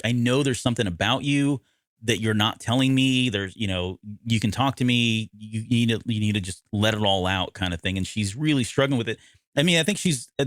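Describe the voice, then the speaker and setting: A man's voice: lowish voice, fairly bright tone, dry recording, clean studio sound. An American man from the Midwest co-hosting a podcast, recapping a story in a casual, informal way with asides. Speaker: podcast co-host.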